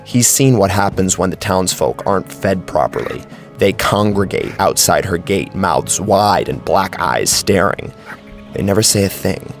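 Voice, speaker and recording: A man's voice: low voice, lively intonation, a little slow, gravelly. A man narrating a scripted horror story as a brooding, dramatic storyteller. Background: faint music.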